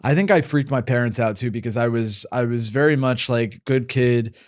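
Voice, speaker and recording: A man's voice: mid-range voice, normal speed, no echo, studio quality. A young man with a New York accent, hosting a podcast in a laid-back, rambling, self-mocking way. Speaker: podcast host. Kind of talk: casual podcast chat.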